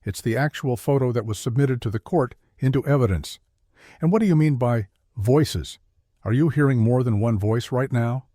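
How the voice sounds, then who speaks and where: A performer playing a calm, needling mock reverend who hosts a scripted satirical interview in a measured, deadpan way. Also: medium pitch, no room sound, studio quality, close to an everyday voice. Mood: deadpan, pressing. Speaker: host.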